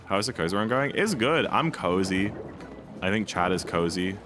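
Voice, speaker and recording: Young male streamer, very deep and slightly hoarse, laid-back and rambling. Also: expressive, normal speed. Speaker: speedrun streamer. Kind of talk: casual live-stream chat. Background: game music.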